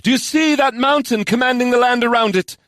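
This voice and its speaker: A man's voice: very high-pitched, fairly quick, a bit bright, no room sound, studio quality, voice pushed up. A man voicing a woman bard warrior in an audiobook, wistful yet fervent, with an earnest, declamatory delivery. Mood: rousing, commanding. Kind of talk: audiobook character voice.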